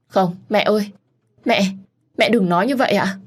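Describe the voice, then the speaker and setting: A woman's voice: low voice, fairly quick, a little mellow, breathy edge. A woman voicing a grieving, pregnant young daughter in a pleading, tearful delivery, in a dramatic audio story. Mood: anguished.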